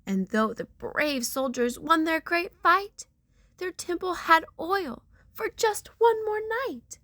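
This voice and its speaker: A woman's voice: very high-pitched, slow, pushed and bright, no echo, studio quality. A woman reading a rhyming children's picture book aloud with theatrical storyteller emphasis.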